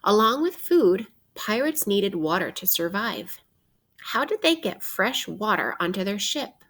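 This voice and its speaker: A woman teacher reading a picture book aloud to children in a deliberate, instructive way, posing questions as she goes. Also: medium pitch, sing-song, a bit bright.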